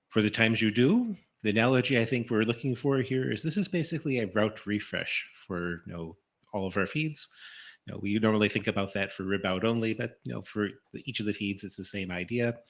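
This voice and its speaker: A man's voice: lowish voice, a bit bright. A man giving informal technical comments from the floor of a meeting.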